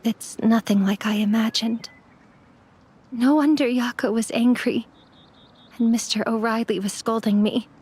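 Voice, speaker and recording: A woman's voice: fairly high pitch, fairly even intonation, measured pace, long pauses, darkish tone, slightly rough. A woman playing a young immortal apprentice mortician in an anime game, earnest and subdued. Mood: grieving.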